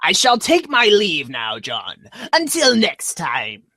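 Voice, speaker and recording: A man's voice: high voice, huge pitch swings, slow pace, bright, forceful tone, dry recording, clean studio sound, voice pushed up, exaggerated voice. A man voicing a stiffly formal, menacing creature with pompous, ornate politeness in a comic script reading. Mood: formal, courteous.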